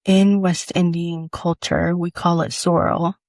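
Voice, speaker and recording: A woman's voice: lowish voice, little pitch movement, measured pace, vocal fry, over loud music. A woman from Los Angeles hosting a podcast, reading a scripted monologue in an earnest tone. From Los Angeles, United States. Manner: earnest, list-reading delivery. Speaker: podcast host.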